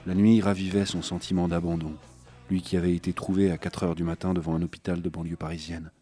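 Deep-voiced man narrating a thriller. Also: level tone, fast talker, mellow, breathy edge, soft background music. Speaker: audiobook narrator. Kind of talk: scripted literary narration of a thriller.

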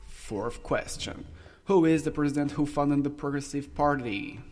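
A teenage boy hosting a school radio quiz, reading questions in brisk bursts. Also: on the high side. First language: French. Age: teen. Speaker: quiz host. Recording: reverberant room with music behind.

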